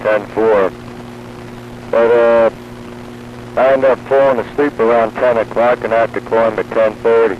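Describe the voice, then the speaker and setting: A man's voice: mid-range voice, level tone, normal speed, pauses often. A man in casual hobbyist chat, heard over a two-way radio transmission. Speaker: radio hobbyist on a CB round-table. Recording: muffled CB radio with clear noise.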